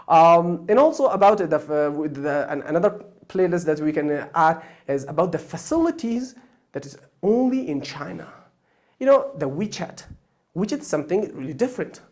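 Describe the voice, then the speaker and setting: A host, a Persian and Pashto speaker, talks through the plan for a language course without a script. The speech is full of ums, uhs and false starts. The voice sits at a middling pitch and leaps upward on words being stressed. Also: a little mellow. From Afghanistan. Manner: hesitant, many fillers and restarts, pitch jumping up on key words. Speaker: online Chinese course host. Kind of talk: unscripted explanation of a course plan.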